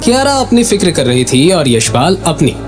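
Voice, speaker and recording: A male narrator tells a scripted story with a very lively, swinging intonation. His voice sits slightly high for a man and has music playing behind it. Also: moderate pace.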